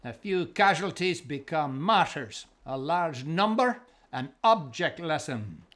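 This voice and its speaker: An actor playing a brash, authoritative 1930s American police officer in a radio drama, with a brisk manner. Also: male voice, fairly high pitch, big pitch swings, fairly bright tone, exaggerated voice. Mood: commanding, gruff.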